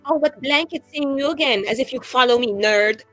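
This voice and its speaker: A woman's voice: high voice, fairly quick, fairly bright tone, faint music behind, voice pushed up. A woman playing a brash, grandiose young female mage revolutionary in a tabletop game, theatrical and slangy. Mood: mocking. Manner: theatrical, self-important, casual slangy phrasing.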